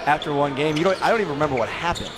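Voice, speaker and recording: A man, a coach, tired, with a raspy voice, chatting casually as a guest on the broadcast. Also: a little high, rapid-fire, heavy background noise. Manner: casual, rambling chat.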